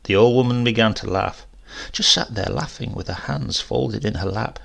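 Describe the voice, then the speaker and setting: A man's voice: lowish voice, moderate pace, gravelly, a bit bright. A man narrating a horror story in the first person, in a dramatic, brooding and suspenseful manner.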